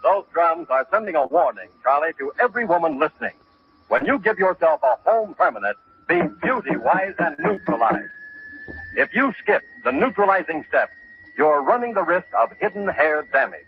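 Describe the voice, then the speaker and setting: A man's voice: on the high side, sing-song, moderate pace, background noise. A man announcing from a script in mid-century radio style, formal and emphatic, on a thin old broadcast recording. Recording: thin, narrow-band vintage radio recording.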